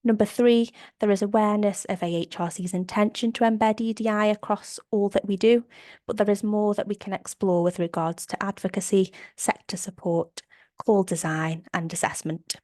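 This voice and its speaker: A woman with a slight cold, sounding a bit croaky, presenting survey findings on a video call in an upbeat, methodical way. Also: mid-range voice, fairly even intonation, moderate pace, warm tone, dry recording, clean studio sound. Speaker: operations manager presenting survey data. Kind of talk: webinar slide presentation.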